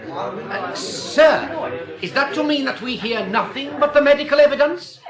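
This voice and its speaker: A man's voice: extremely high pitch, expressive, measured pace, crowd noise. An actor playing a deferential but objecting jury foreman in an audio drama, hesitant and respectful. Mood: troubled protest.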